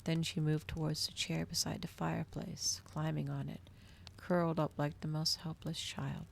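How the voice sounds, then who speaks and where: A woman reading a story aloud in a soft, breathy ASMR style, with dramatic storytelling delivery, close to the microphone. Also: sits low, flat delivery, moderate pace, slight background noise. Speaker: streamer reading a novel aloud.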